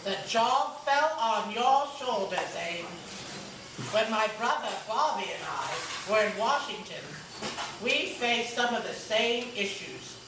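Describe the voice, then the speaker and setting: Woman as slow-talking young president, echoey. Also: fairly high pitch, lively intonation. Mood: sympathetic. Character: young, thoughtful John F. Kennedy, male president in heaven. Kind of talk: readers' theater stage reading. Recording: echoey room, distant mic, noisy.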